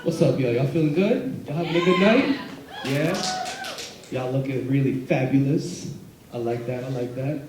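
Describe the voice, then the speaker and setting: A man's voice: mid-range voice, moderate pace. A man introducing a live stage show, hyping the crowd in a casual way. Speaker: stage presenter. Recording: very echoey, distant, noisy hall.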